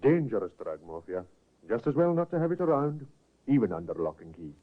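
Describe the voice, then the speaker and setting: An actor playing a calm kindly doctor. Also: male voice, medium pitch, brisk, very noisy, natural voice. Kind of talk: 1950s radio drama acting. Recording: tinny old radio broadcast.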